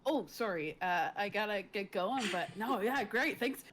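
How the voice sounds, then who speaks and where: A woman player in a tabletop game, roleplaying casually and haltingly, repeating words as she improvises. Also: mid-range voice, animated, a bit bright, slight background noise.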